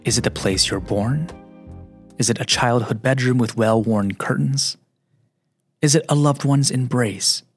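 A man hosting a radio show, narrating in a slow, measured, reflective way; his first language is Mandarin. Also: medium pitch, no echo.

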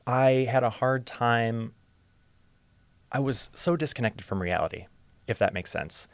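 A young man from Ohio speaking as a podcast guest, open and reflective, sometimes halting. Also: mid-range voice, no room sound. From Ohio, USA. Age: young adult. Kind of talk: casual interview storytelling.

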